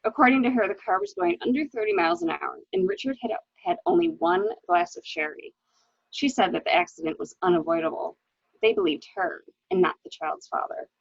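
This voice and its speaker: A woman plays an anxious widow in a murder mystery, hesitant yet dramatic. Her husky voice swoops expressively and crackles with fry, on a dry, dull lo-fi recording. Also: fairly low pitch, expressive, a little fast, dry recording, full character voice. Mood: earnest, bitter. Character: anxious, hesitant new widow recounting her husband's misdeeds. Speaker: library staff reader.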